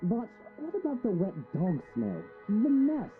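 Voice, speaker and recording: Bickering husband, very animated swooping pitch, phone-like sound, music behind, game character. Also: male voice, a little slow, heavily acted. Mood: teasing, argumentative. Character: teasing, argumentative cat-favoring husband. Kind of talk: visual novel voice acting. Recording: thin band-limited sound over music.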